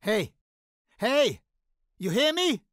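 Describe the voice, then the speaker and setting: A wry bookish wizard, highly animated. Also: male voice, extremely slow, dry recording, clean studio sound, exaggerated voice. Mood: urgent, desperate. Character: bookish wizard, wry, anxious, guarded scholar of devils. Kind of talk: video game voice lines.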